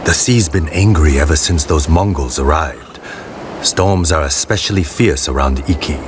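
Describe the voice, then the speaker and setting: An actor playing a seasoned, plainspoken sailor in a video game, respectful and wary but confident. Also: male voice, extremely low pitch, normal speed. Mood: respectful, reassuring. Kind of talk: cinematic video game acting. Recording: clearly noisy outdoor ambience.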